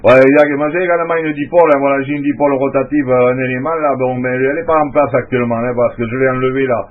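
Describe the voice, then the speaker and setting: A man's voice: medium pitch, fairly even intonation, fast. A man on a CB radio contact, talking in a rambling way with many fillers. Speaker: CB radio operator. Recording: tinny radio link.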